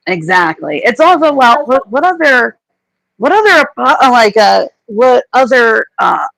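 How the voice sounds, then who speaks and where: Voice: medium pitch, big pitch swings, measured pace, gravelly, a bit bright, no echo, low-quality recording. A middle-aged guest on a casual livestream chat, speaking hesitantly with frequent stammers and restarts. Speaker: podcast guest.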